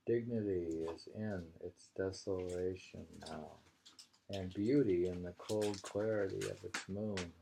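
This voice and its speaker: Slow, gravelly man reading poetry. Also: sits low, steady tone, mellow, slight background noise, rough recording. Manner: halting, musing, thinking aloud. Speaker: reader and commentator of poetry. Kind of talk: poetry reading with informal commentary.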